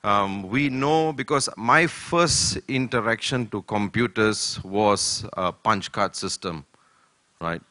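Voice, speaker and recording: Bright-voiced man giving a keynote. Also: fairly low pitch, dry recording. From Malaysia. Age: older. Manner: slow deliberate delivery with many fillers. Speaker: keynote speaker. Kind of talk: conference keynote talk. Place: conference hall.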